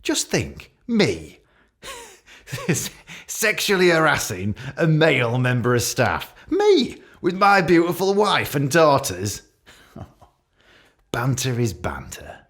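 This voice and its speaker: Pompous British police boss, swooping delivery. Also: male voice, mid-range voice, huge pitch swings, fairly bright tone, exaggerated voice. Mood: self-justifying, smug. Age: middle-aged. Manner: pompous, passive-aggressive, self-justifying, halting. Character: self-pitying, pompous middle-aged male senior police officer giving a speech. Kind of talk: radio play monologue.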